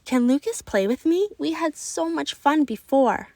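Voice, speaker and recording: A woman voicing a curious, eager schoolchild who loves make-believe, in an expressive storybook read-aloud. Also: high-pitched, measured pace, dry recording, pitched above the performer's own voice. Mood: curious, hopeful.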